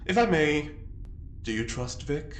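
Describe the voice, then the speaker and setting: An actor plays a formal, earnest man in an audio drama, easily affronted and loyal. He takes his words slowly with expressive swoops, in a roomy sound with faint noise. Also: a little high. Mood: measured. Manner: formal, measured, theatrically wounded.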